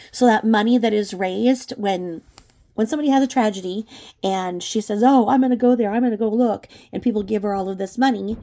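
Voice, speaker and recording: Scornful woman commentator with a gravelly, creaky voice. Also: mid-range voice, warm tone. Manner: scornful, disapproving, emphatic. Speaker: true crime commentary channel host. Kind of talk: unscripted opinion commentary.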